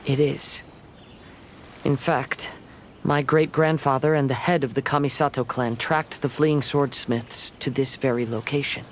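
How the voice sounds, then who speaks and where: An actor playing a gentle, thoughtful young samurai in a video game, speaking in a calm, measured, formal way. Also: male voice, medium pitch, slight background noise, lightly acted. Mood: calm, earnest. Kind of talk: video game voice acting, storytelling. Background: faint game music.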